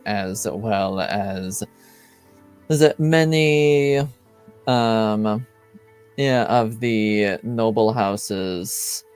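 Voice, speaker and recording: A man playing a dry, formal noble uncle, a duke in a tabletop game, speaking in a measured, halting way. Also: on the low side, clear, resonant tone.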